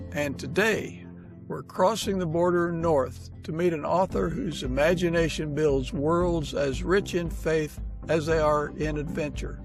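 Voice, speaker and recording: A man hosting an interview podcast, giving introductions and putting questions to his guest. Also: fairly high pitch.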